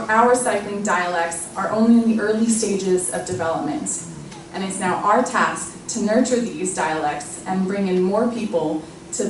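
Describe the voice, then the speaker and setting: Woman presenting in a very echoey hall. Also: mid-range voice. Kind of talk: short scripted talk. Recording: echoey hall, mic at a distance, background noise.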